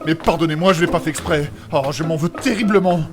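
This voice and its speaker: An earnest magical healer acted by a man speaks formally and deferentially, quick to apologise. The delivery belongs to a comedic fantasy audio drama, with light music behind it. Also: on the high side, natural voice. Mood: contrite.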